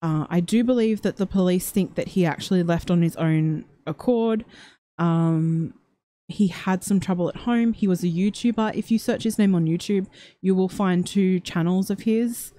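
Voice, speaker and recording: Low, mellow-voiced woman chatting. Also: moderate pace. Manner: rambling, many fillers and restarts. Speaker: livestream host reading viewer comments. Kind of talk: casual chat.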